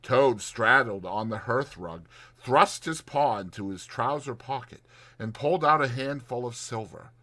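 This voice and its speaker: A man's voice: medium pitch, lively intonation, unhurried, bright, forceful tone. A man reading a dramatized audiobook as its narrator, in scripted storytelling style.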